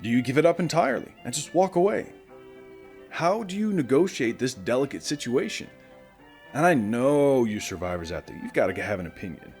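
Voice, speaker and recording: A man's voice: medium pitch, lightly acted. A man playing a chatty, caring morning radio host in a post-apocalyptic audio drama, talking straight to his listeners. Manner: conversational, animated, addressing listeners directly.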